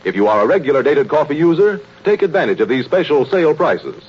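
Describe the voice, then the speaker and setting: Animated male announcer on muffled old radio. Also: a little high, quick pace, breathy edge. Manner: formal, emphatic delivery. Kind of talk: 1930s scripted radio announcing. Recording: muffled, noisy 1930s radio recording.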